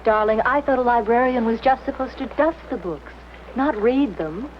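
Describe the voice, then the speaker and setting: An actress playing a woman friend who soothes and gently teases her companion. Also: fairly high pitch, moderate pace, a little hiss or hum, close to an everyday voice. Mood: teasing. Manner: soothing, teasing. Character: teasing, motherly woman friend. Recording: dull old film sound.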